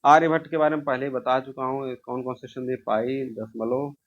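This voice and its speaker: A man's voice: mid-range voice, level tone, a little fast. A man teaching an informal exam review, asking questions and answering them himself, repeating key answers. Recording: dull, lo-fi sound.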